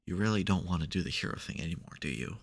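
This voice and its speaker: A man performs a male supervillain for an intimate ASMR roleplay. His voice sits very low, with a heavy gravelly creak. He comes across as wry and exasperated, with a caring streak under the theatrics. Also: expressive, fast talker. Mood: reflective, tender.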